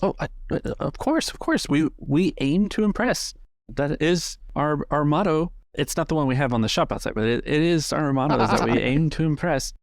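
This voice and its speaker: A man's voice: a little high, moderate pace, vocal fry, dry recording, studio quality, voice pushed up. A man voicing a flustered, polite shop server who stammers deferentially and is eager to please. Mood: flustered, obliging.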